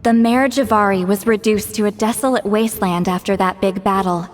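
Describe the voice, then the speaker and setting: An actress playing a wise, wry elderly woman who guides spirits, speaking in an unhurried storytelling way for a video game. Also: mid-range voice, steady tone, soft background music, close to an everyday voice. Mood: solemn storytelling.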